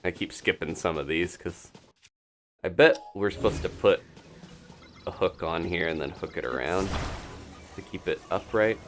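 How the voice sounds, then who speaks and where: A man's voice: sits low, normal speed, long pauses, faint music behind. A man giving casual gameplay commentary as a streamer, rambling as he thinks aloud. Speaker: game streamer.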